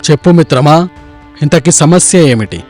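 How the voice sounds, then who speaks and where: Man as an authoritative, high-voiced dismissive king. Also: expressive, moderate pace, darkish tone, soft background music. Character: partial, dismissive king favouring his friend.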